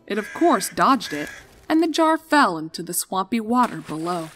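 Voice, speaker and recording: A woman reading fan fiction aloud as an expressive storyteller. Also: fairly low pitch. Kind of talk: expressive scripted story narration. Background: music.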